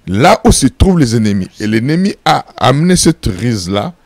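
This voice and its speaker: A pastor from Congo, answering interview questions in an emphatic preaching style, full of repeated phrases and rhetorical questions. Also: male voice, medium pitch, sing-song, normal speed, gravelly, no room sound. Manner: firm and insistent.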